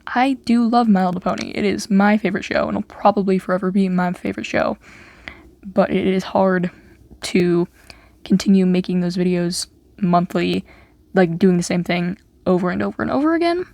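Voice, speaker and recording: A woman talks over her own game footage without a script, in a voice that sits at a middle pitch. She wanders from thought to thought and leans on "like" as she restarts sentences. The sound is dry and close, with no room around it. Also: moderate pace, gravelly, a little mellow, dry recording. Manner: rambling, many "like" fillers and restarts. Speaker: YouTuber. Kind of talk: unscripted voiceover over a game speed build.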